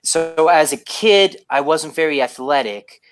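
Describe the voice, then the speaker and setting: A man being interviewed as a writer, giving thoughtful, explanatory answers in a halting conversational way, repeating words as he forms his thoughts. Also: a little high. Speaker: guest, fiction writer.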